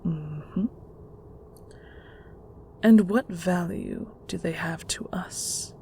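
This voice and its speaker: Actress as controlling matriarch of a magic clan: gravelly, unhurried, condescending endearments, often breathy, noisy ambience behind. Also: female voice, fairly low pitch, dark tone. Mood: interrogating, condescending. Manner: slow, deliberate, condescending, with terms of endearment. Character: stern, manipulative mother of a secret magical family. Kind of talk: scripted audio roleplay drama.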